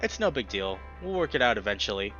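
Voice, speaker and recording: A man plays an easygoing young animal guy from a visual novel, kind but evasive. His talk is casual and offhand in a bright voice. Music sits behind the slightly muffled sound. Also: on the low side, sing-song, quick pace. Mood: guarded. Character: young male anthro animal, laid-back, kind, evasive friend. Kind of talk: visual novel character reading.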